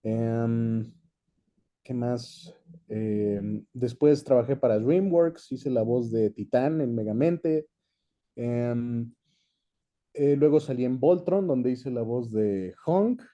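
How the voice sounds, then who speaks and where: Young man, Mexican accent, very soft dark tone, mid-range pitch, chatty, easygoing interview answers. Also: slow pace, highs cut off. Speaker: guest, voice actor. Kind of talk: casual interview, reminiscing.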